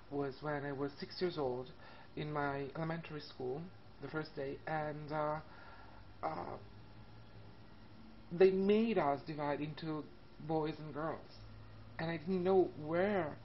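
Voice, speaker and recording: A woman of thirty from Italy with an Italian accent, telling a personal story casually to camera in a hesitant, halting way. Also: low voice. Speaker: vlogger. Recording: muffled lo-fi webcam audio, background noise. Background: classical music and faint room noise.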